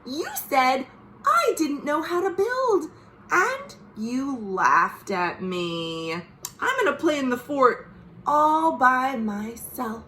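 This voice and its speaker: A woman's voice: high-pitched, lively intonation, takes it slowly, bright, forceful tone, slight background noise, heavily acted. Ruby, a determined little girl, as a woman puts her on. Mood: stern. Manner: firm, self-reliant.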